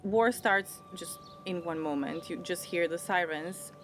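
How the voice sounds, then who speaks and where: A woman in her thirties from Serbia with a Serbian accent, whose first language is Serbian. She tells her own story in a reflective, thoughtful way, often restarting her phrases and laughing softly. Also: fairly low pitch, a little slow. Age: 30s. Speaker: interviewee. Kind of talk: personal storytelling. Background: music.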